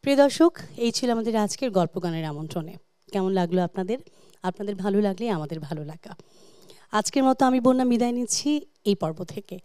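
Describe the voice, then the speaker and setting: A woman's voice: medium pitch, animated, fast, warm tone, clean tone, dry recording, clean studio sound. A woman with a Bangladeshi accent presenting a television music show in a gracious, scripted style.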